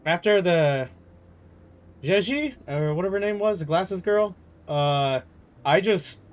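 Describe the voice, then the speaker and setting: A man giving casual gaming commentary as a streamer, talking in an animated, slangy, exasperated way. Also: high-pitched, slow. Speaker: game streamer.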